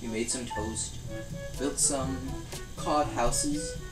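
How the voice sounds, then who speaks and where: A man voicing a cheerful, daft British inventor who loves cheese, in a gentle ASMR cartoon parody, speaking in an unhurried, pottering way. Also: medium pitch, fairly even intonation, echoey room, recorded from a distance, loud music behind the voice, poor audio. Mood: fond storytelling. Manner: gentle, unhurried, pottering storytelling with small hums and asides.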